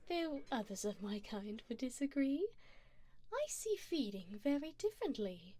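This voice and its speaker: A woman plays a refined, predatory vampire lady in an ASMR roleplay. Her voice sits a little high and moves very slowly. She is calm and formal, teasing the listener with flirtatious endearments. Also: full character voice. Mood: composed, reassuring.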